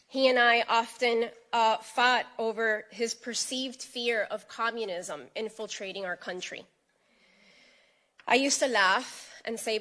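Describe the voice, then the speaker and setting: A woman's voice: mid-range voice, pushed and bright. A middle-aged woman from Miami giving an earnest, emphatic prepared speech at a press event. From United States (Miami).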